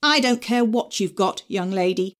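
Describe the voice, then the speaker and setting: A woman's voice: medium pitch, lively intonation, moderate pace, lightly acted. A woman voicing a strict female teacher who speaks in a curt, firm way. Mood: stern. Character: stern strict female schoolteacher.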